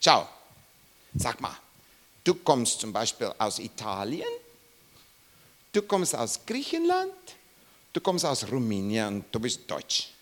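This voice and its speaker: Emphatic preacher man, swooping pitch. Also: wildly swooping pitch, takes it slowly, pauses often, fairly bright tone. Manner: emphatic, rising and falling sharply, repeats questions to listeners. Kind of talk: Bible teaching sermon.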